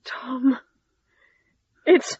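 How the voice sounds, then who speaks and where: An actress playing a distressed, compassionate young wife who speaks in a hushed, hesitant way in a radio play. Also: female voice, very slow, dull audio. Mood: shocked, hushed.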